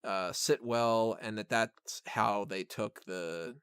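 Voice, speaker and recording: A man's voice: on the low side, takes it slowly, a bit bright, clear, resonant tone, dry recording, studio quality. A man co-hosting a film review podcast, chatting casually and enthusiastically about details.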